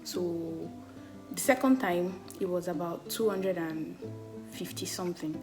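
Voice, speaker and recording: A young woman with a Nigerian accent, talking casually to the camera with a loud voice and many "like" fillers. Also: lowish voice, a little slow. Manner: chatty, full of "like" fillers, laughs often. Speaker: travel vlogger. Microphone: camera mic, no external mic. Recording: background music.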